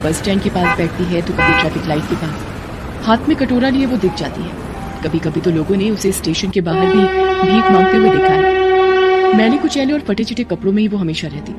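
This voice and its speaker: A woman's voice: mid-range voice, big pitch swings, a little fast. A woman narrating a scripted moral story in a dramatic storytelling style. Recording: music bed behind the narration.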